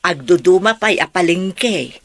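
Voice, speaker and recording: A woman's voice: lowish voice, expressive, a little slow. A woman acting an easygoing villager in an audio drama, with a Filipino Ilocano accent. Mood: casual.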